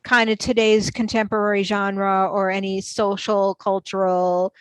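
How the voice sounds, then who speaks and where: A woman's voice: mid-range voice, flat delivery, a little slow, clear, resonant tone, no room sound. A woman moderating a podcast panel discussion and putting questions to guests.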